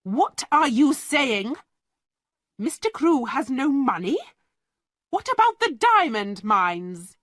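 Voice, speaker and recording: A woman's voice: high-pitched, big pitch swings, slow, few pauses, no echo, clean studio sound, pitched above the performer's own voice, heavily acted. A woman voicing a haughty, greedy headmistress who speaks shrilly, indignant and cold. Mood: shocked.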